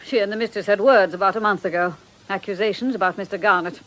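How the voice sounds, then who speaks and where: An actress as an elderly, devout family nanny in a radio drama. She is bitter and grieving, speaking with fervent righteousness beneath old-fashioned deference to her betters. Her voice sits at a middling pitch for a woman. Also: fast, a bit bright, natural voice, poor audio. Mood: confiding. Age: older.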